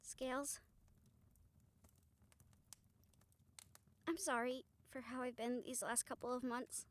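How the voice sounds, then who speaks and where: A tired vampire huntress, acted by a woman with a fairly high voice. She speaks softly and earnestly, often hesitating, as if confiding in a trusted friend in an intimate audio drama. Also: close to an everyday voice. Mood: remorseful. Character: weary, apologetic female vampire hunter confiding in a friend. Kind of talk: intimate audio roleplay to the listener.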